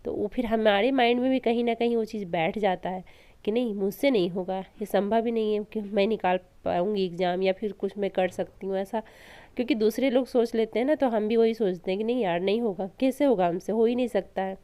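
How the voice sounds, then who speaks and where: Hoarse young woman, earnest encouragement. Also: mid-range voice, a little fast, mellow, no room sound. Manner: earnest, encouraging, many restarts. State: sore throat, hoarse. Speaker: vlogger studying for exams. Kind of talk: casual motivational talk to camera.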